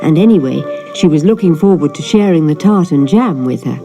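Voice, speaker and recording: A woman narrating a children's fairy tale in an expressive storytelling manner. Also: deep voice, a little fast, over music. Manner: animated storytelling. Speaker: storybook reader.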